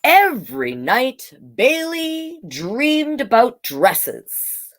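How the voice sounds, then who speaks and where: A woman's voice: high-pitched, huge pitch swings, extremely slow. A woman hosting a storytime, reading a children's book aloud expressively and coaxing young listeners with little asides. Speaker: storytime host, drag king performer.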